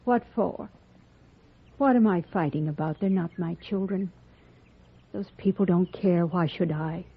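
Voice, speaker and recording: An actress playing an embattled, dutiful frontier schoolteacher in an old-time radio Western, with a husky voice. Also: female voice, fairly low pitch, unhurried. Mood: bitter, discouraged. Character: embattled frontier schoolteacher woman, disheartened yet stern and dutiful. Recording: dull, muffled old radio recording.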